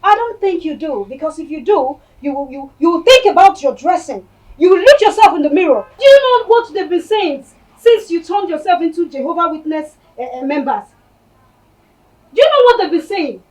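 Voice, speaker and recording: A woman's voice: very high-pitched, lively intonation, normal speed, a little mellow, poor audio. A woman acting as a blunt younger sister who scolds and mocks her sibling. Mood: scolding. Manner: mocking and scolding. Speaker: actor in a comedy skit. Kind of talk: comic skit acting.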